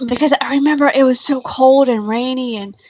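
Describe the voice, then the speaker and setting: A woman's voice: high voice, steady tone, fairly quick, a little mellow, lo-fi sound, slight background noise. A woman co-hosting a live show in loose, casual conversation. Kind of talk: casual chat.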